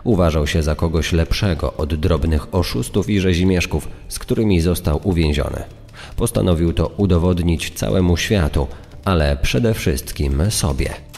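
A man's voice: low voice, normal speed, creaky, a little mellow. A man delivering scripted true-crime narration as a secondary narrator. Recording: long reverb.

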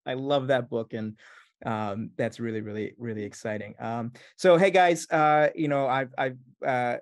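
A man's voice: mid-range voice, normal speed, dry recording. A man in his thirties hosting a casual video-call interview from his home kitchen, friendly and easygoing. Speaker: host.

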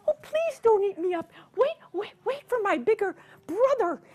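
A woman voicing a tiny, frightened billy goat who pleads in a very high squeak. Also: very animated, moderate pace, darkish tone. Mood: pleading scared.

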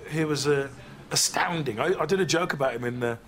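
A man speaking in a documentary interview, reflective and lively, recorded in a living room. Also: a little high, fairly quick, slight background noise. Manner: reflective, mimics voices when quoting. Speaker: interviewee, comedian.

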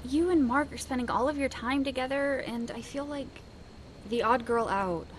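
An actress playing a gentle, wistful young traveller in an audio drama, hesitant and soft-hearted. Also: female voice, high voice, normal speed, slight background noise, close to an everyday voice. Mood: hurt.

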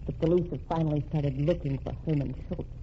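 A woman's voice: low-pitched, steady tone, quick pace, natural voice. An actress playing a determined young woman bent on revenge in 1940s radio drama style, speaking earnestly and intensely. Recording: dull lo-fi old radio recording with faint hiss.

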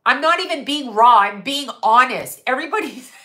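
A woman's voice: sits high, lively intonation, moderate pace, a bit bright. A middle-aged woman hosting a live stream from a room at home, ranting bluntly with lots of swearing, her nose stuffy, picked up by a webcam at desk distance. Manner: blunt, profane, emphatic with hand gestures. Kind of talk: casual live-stream rant.

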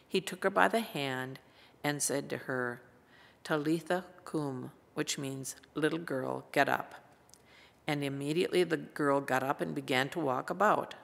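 A woman in her seventies with an American accent reads scripture in a formal, measured manner. Her voice is low and creaky, carried by the long reverb of a church hall. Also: a bit bright. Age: 70s. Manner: measured, reading from a page. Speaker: lay scripture reader. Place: church pulpit indoors. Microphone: gooseneck lectern microphone.

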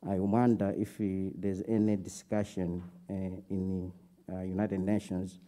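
A young man with a Malawian accent politely and haltingly putting questions to a speaker from the audience. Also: low voice, level tone, dark tone. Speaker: audience questioner, graduate student. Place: auditorium audience.

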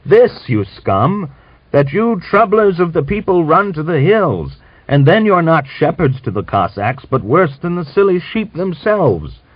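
A scolding, pious old man of nearly a hundred rails at the young and reads scripture in a slow, solemn cadence, as a male narrator puts him on. His voice rises high and swings widely. The recording sounds dull and dry. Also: big pitch swings, no room sound, exaggerated voice. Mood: bitter. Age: older.

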